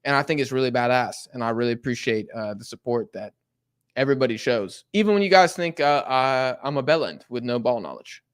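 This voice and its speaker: A young man chats loosely through a live football watch-along. He rambles in slang, with plenty of fillers and restarts. His voice sits at an ordinary middle pitch. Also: fairly quick, smooth. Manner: slangy and rambling, lots of fillers, sudden excited outbursts at the match. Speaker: live stream host reacting to a football match. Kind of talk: casual live watch-along chat, reading chat messages.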